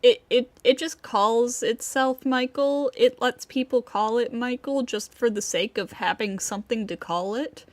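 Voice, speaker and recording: A woman streaming a game with casual, playful, giggly commentary that gets halting when she explains. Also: a little high, no echo.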